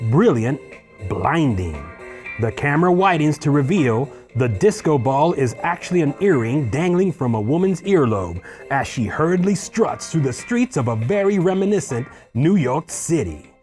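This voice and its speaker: A man reading screenplay stage directions in a husky voice, as scripted narration at a table read. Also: fairly high pitch, darkish tone.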